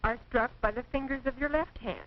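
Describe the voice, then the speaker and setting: An American woman reading scripted 1940s training film narration in a precise, measured, instructive manner. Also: sits high. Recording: dull, noisy 1940s film soundtrack.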